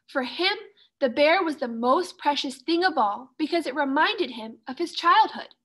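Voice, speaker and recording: Hearty pirate father acted by a woman, high-pitched for a dad, proud, loving, jovial, storybook character. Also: lively intonation, normal speed, fairly bright tone. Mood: tender, jovial. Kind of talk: children's storybook reading.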